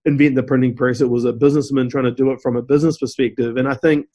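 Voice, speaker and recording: A man from New Zealand with a New Zealand accent, answering interview questions in a steady, explanatory way and often saying "you know". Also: mid-range voice, very fast. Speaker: guest, company CEO.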